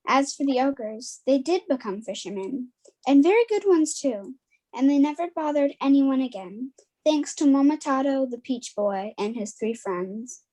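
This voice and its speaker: A woman's voice: high voice, dark tone, poor audio. A female narrator reading a scripted folktale aloud over a video call.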